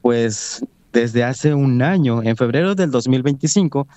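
A man with a Mexican accent whose first language is Nahuatl, answering interview questions in an earnest, proud and measured way. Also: mid-range voice, lively intonation, dark tone, no echo. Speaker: guest, Nahuatl language coordinator. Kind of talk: interview conversation.

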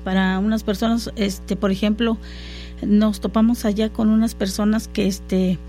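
Hesitant woman, mellow voice. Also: medium pitch, flat delivery, normal speed, faint music behind. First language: Totonac. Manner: hesitant, many fillers and restarts. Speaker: guest interviewee. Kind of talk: conversational interview.

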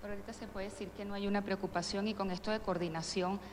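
A woman reporter asking brisk, direct questions at a press conference. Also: medium pitch, fairly even intonation, in a large hall, a little hiss or hum.

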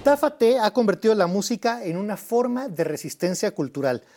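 A woman's voice: medium pitch, expressive, brisk, mellow, some chatter behind. A woman hosting a TV show who chats casually with guests before introducing the next segment. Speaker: host. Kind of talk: TV show host.

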